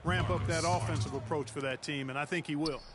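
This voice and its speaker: A man's voice: on the high side, brisk, natural voice. A man playing an agreeable, opinionated TV basketball analyst in a video game, explaining plays conversationally. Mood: analytical. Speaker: color analyst. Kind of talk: conversational sports commentary.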